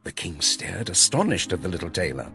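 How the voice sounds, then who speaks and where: Male storyteller for a children's fairy tale, lowish voice, very animated and theatrical, music underneath. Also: a little fast, gravelly. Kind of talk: dramatic scripted fairy tale narration for a children's story app. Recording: music behind the voice.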